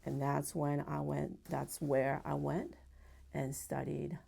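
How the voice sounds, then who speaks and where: A middle-aged woman from Japan with a Japanese accent, a pediatric acupuncturist telling her story in a measured, earnest way with frequent pauses. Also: low-pitched, level tone, creaky, warm tone, husky. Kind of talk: personal story told to camera.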